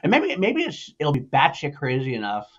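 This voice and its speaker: A man's voice: a little high, wildly swooping pitch, moderate pace, muffled recording. A man hosting a casual, sweary movie podcast, speaking emphatically and opinionatedly and repeating himself for emphasis. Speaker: podcast host. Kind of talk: casual, profane movie news chat.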